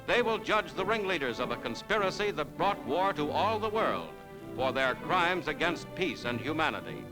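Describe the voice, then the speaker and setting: A man's voice: fairly high pitch, very animated, normal speed, over loud music, poor audio. A man delivering dramatic, emphatic scripted narration on a 1940s newsreel soundtrack.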